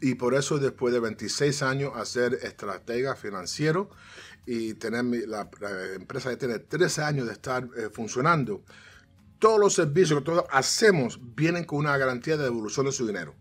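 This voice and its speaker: A middle-aged man, a financial strategist guest, talking in an animated way, mixing in English words and restarting often. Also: medium pitch, brisk, husky, faint music behind. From United States, of Cuban parents. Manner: animated, gestures a lot, frequent restarts and English "so". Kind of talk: casual interview talk. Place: TV studio set, seated on armchair.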